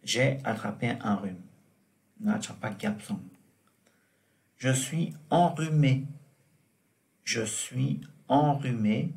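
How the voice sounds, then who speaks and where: A man teaching French vocabulary, slow and deliberate, repeating each word and example as he explains it. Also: medium pitch, creaky, darkish tone. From Tibet. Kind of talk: vocabulary lesson explained in Tibetan.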